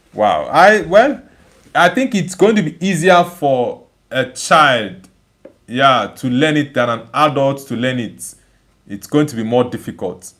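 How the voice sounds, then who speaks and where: A man with a slightly high voice hosts a casual livestream. He reads out comments from viewers and answers them emphatically, often repeating a phrase while he thinks it through. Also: a bit bright. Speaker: livestream host. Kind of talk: casual live chat, reading viewer comments aloud and replying.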